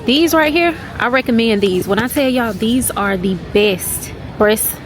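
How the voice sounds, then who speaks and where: A woman's voice: fairly high pitch, a little slow. Stuffy-nosed woman vlogging to y'all. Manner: chatty, addresses viewers as y'all. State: stuffy nose from flu. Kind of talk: casual vlog narration.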